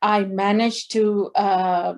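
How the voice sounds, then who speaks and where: Slow, hesitant middle-aged woman. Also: medium pitch, fairly even intonation. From Tanzania. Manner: slow, hesitant, many fillers and restarts. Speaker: guest singer. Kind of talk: interview over video call. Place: indoor home room. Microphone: video call.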